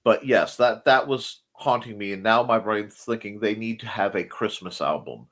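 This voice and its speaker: A middle-aged man chatting casually as a show co-host, rambling, pausing to search for words and adding wry asides, his voice still recovering after he nearly lost it. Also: on the low side, fairly bright tone. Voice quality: husky. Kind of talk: casual chat.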